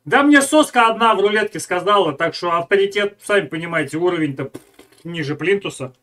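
A man with a high, light voice gives sarcastic running commentary over a clip. He laughs between jabs and talks in short, offhand bursts. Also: expressive, brisk, pushed and bright. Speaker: streamer reacting to a video. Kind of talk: mocking live commentary.

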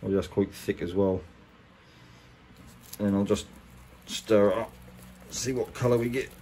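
An English man with a fairly low voice talks casually through a hands-on job. He is colloquial and plain-spoken, like someone showing his own work. The recording has clear background noise behind him. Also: steady tone, measured pace, dark tone. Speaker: boat restorer presenting a how-to. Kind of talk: casual hands-on tutorial talk.

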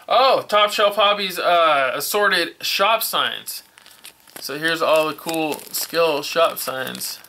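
A man's voice: on the high side, noisy background. A middle-aged man in a hobby workshop, unboxing parts on a casual vlog and enthusiastically calling everything super stoked. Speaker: RC hobby vlogger. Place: indoor hobby workshop bench. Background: package crinkling and clicks.

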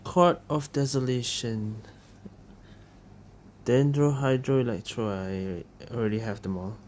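A man's voice: medium pitch, a little slow, background noise. A man giving casual gameplay commentary in short, sparse remarks, as if to himself. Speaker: gaming video host. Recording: loud game music behind the voice.

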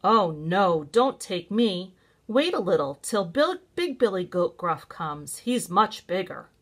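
A woman's voice: lowish voice, very animated, slow, full character voice. Woman as a pleading middle-sized billy goat.

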